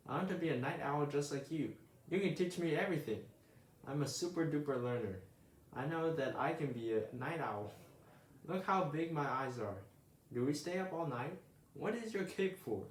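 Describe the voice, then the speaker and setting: A man voicing an eager young female bird who chatters with excited questions. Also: fairly high pitch, voice pushed up, close to an everyday voice. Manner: bubbly rapid questions. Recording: roomy echo.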